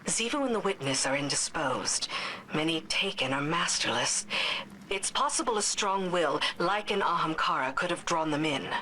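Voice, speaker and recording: An actress playing a composed, guarded commander in a video game cutscene, speaking in a measured, formal, cautioning manner. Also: female voice, low voice, lively intonation, sharp tone, a little hiss or hum, poor audio. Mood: grave, analytical. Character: composed, guarded female commander, pragmatic and knowledgeable.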